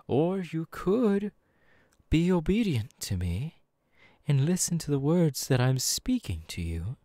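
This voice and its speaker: A man's voice: on the high side, a little mellow, dry recording. Man playing a seductive male demon, condescending, menacing, swooping intonation, close dry mic, ASMR roleplay. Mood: patronising menace. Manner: slow, teasing, drawn-out, patronising phrasing. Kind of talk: ASMR audio roleplay, second-person monologue. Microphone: close dry microphone.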